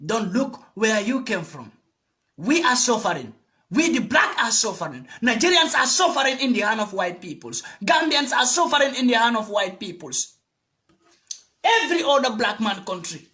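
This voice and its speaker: A man's voice: very high-pitched, sing-song, moderate pace, sharp tone. A young man with a West African accent giving an impassioned, emphatic and repetitive political monologue, exhorting his listeners. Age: young adult. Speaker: political activist addressing African youth.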